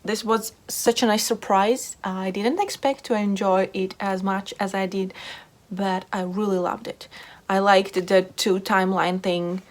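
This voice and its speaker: A woman's voice: mid-range voice, steady tone, a little slow. A woman hosting a casual book review video, talking informally and repeating words for emphasis. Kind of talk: casual book chat to camera.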